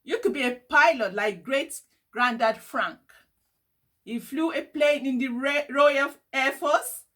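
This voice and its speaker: A woman's voice: high-pitched, slow, a bit bright. A woman voicing an encouraging, upbeat older boy cousin in a storybook, reassuring and enthusiastic.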